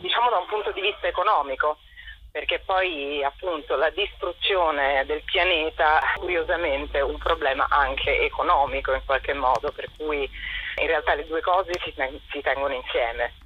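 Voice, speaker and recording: A woman in a conversational radio interview, speaking as a guest in an expressive, reflective, self-correcting manner. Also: low-pitched, moderate pace, a little hiss or hum. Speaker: theatre collective member, interview guest. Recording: dull telephone-like radio line.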